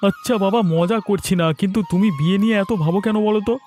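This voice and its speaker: A man's voice: sits high, quick pace, a little mellow, faint music behind, close to an everyday voice. A man voicing a teasing, evasive young village boyfriend in a cartoon story. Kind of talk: cartoon voice acting.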